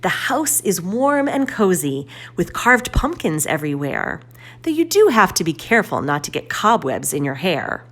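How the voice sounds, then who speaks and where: A woman reading a storybook aloud to children in a deliberate, expressive storytelling manner. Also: fairly low pitch.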